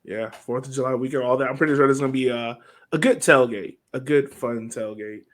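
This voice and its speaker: Male podcast host, relaxed conversational sports talk, mid-range pitch. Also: a little fast. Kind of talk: casual sports chat.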